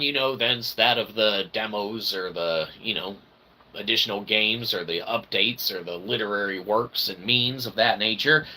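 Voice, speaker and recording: A man's voice: mid-range voice, creaky, sharp tone, rough recording. A man delivering a rambling solo monologue in wordy, mock-formal phrasing, with theatrical swings in emphasis and repeated emphatic tags. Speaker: independent channel host and developer. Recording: noticeable background noise.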